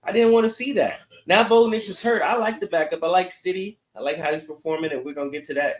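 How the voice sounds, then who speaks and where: A man's voice: sits high, quick pace. A man co-hosting a sports podcast, giving blunt, emphatic opinions in casual conversation. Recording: roomy, echoing sound.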